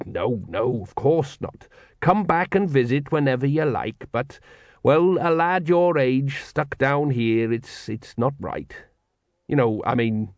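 A man's voice: medium pitch, darkish tone, no room sound, clean studio sound, lightly acted. A man voicing a worried old male dwarf king who hesitates and fumbles for words. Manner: hesitant, halting with ums.

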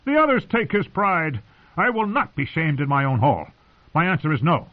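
Casting: hot-headed noble played by a male reader, protesting loudly, pitched well up, storybook drama. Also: very animated, normal speed, narrow, tinny audio. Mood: seething. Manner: emphatic, protesting. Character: proud, indignant young-to-middle-aged lord, hot-tempered and petulant.

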